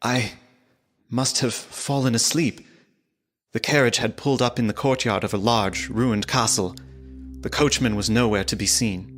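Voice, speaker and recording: An earnest young English clerk, acted by a man, narrates his journal at medium pitch. His telling is dramatic and apprehensive, with clear music underneath. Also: steady tone, normal speed, a bit bright, close to an everyday voice.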